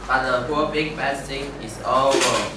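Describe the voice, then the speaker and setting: A man's voice: medium pitch, a little slow. A man reading scripted storybook narration in a reader's theater performance. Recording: very echoey, distant, noisy room.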